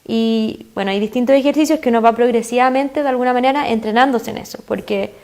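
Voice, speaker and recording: A woman's voice: a little high, quick pace, darkish tone. Chilean woman explaining mindfulness earnestly. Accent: Chilean Spanish. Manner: earnest, explanatory, with frequent fillers. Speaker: mindfulness guest expert. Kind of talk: explanatory interview talk.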